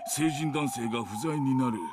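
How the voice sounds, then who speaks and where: Man acting a polite, worried fast talker. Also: mid-range voice, close to an everyday voice. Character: polite, cautious, worried man in a mystery game. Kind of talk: Japanese game voice acting. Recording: very noisy game audio played on a stream.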